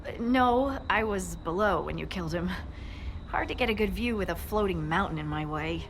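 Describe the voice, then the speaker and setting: An actress playing a wry, friendly young scout in a fantasy game, speaking in a conversational, teasing way. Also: female voice, mid-range voice, animated, moderate pace, slight background noise, lightly acted. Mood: amused. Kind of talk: naturalistic fantasy video game acting.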